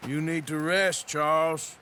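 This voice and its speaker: A man's voice: fairly high pitch, level tone, unhurried, bright, forceful tone, slight background noise. A man playing a weary, wry outlaw gunslinger in a western video game, with an American Western drawl and a sardonic manner. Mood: wry, grumbling.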